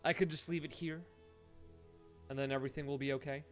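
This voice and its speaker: A man's voice: medium pitch, steady tone, brisk. A man doing casual live game-stream commentary, rambling playfully with dry asides. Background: music.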